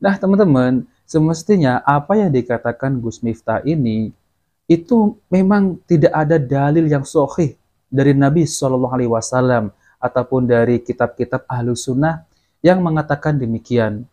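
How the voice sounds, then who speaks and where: A man's voice: medium pitch, normal speed, warm tone. A man hosting a religious commentary, addressing his viewers directly and explaining in a didactic way. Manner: didactic, repeats and explains clips. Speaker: channel host and commentator.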